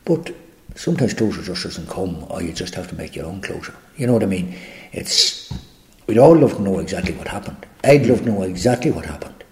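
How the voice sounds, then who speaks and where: Deep-voiced older Irish man, halting. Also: animated, darkish tone. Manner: halting, many restarts and trailing phrases. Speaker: interviewee. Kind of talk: reflective interview answers. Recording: echoey room.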